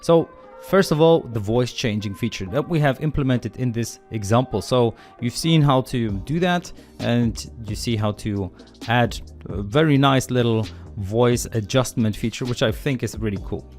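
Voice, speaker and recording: A man teaching programming in a screencast tutorial, calmly explaining the code step by step in a relaxed, conversational way. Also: mid-range voice, moderate pace, soft background music. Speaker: programming instructor.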